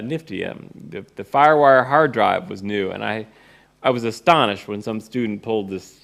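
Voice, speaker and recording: Gravelly-voiced man telling a story. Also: fairly low pitch, a bit bright. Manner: wry, acts out remembered dialogue. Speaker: presenter, course teacher and author. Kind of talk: informal first-person storytelling to an audience.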